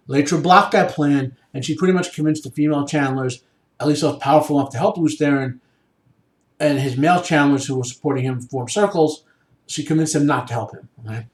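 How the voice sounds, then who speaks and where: A male podcast host gives informal, explanatory commentary. He speaks haltingly and often checks in with the listener, in a strongly echoing room. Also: on the high side. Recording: echoey room sound.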